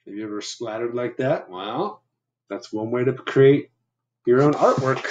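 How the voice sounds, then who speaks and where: A man's voice: medium pitch, unhurried, highs cut off. A man in his fifties reading a picture book aloud to children, with simple, instructive, conversational asides. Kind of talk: read-aloud with explanatory asides. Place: small room at home.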